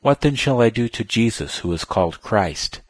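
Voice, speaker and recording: A man's voice: lowish voice, lively intonation, normal speed, breathy edge, no room sound, pitched above the performer's own voice. A man reading scripture aloud, raising his voice a little as a pleading Roman governor. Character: anxious Roman governor, middle-aged man.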